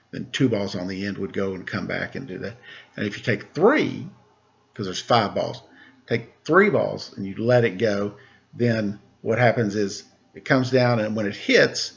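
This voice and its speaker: A man reading a rhyming picture book aloud, lilting and expressive in the verses, relaxed and chatty in the asides. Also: fairly low pitch, creaky, highs cut off.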